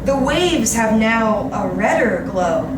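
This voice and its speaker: Woman, theatrical poetry recital, deliberate, slightly high voice, distant echoing recording. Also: very animated. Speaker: poetry reader. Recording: roomy, distant mic, loud background noise. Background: loud ambient noise.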